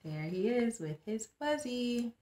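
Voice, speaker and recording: A woman in her thirties reading a picture book aloud to young children as a tutor, expressive and deliberate, often prompting with questions, recorded in a small room. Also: a little high, reverberant. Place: small plain-walled room indoors.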